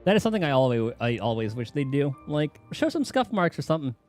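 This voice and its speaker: A man streams a game with casual, offhand commentary. He talks quickly and his pitch moves around a lot. Game music is clearly audible behind him. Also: big pitch swings, darkish tone. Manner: offhand, chatty.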